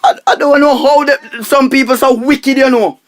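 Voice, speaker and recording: A man playing a pompous, penny-pinching, excitable husband and money minister with a Jamaican accent in a comic radio drama, blustering and repeating himself. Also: extremely high pitch, normal speed. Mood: outraged. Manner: blustering, repetitive, pompous, exclaiming.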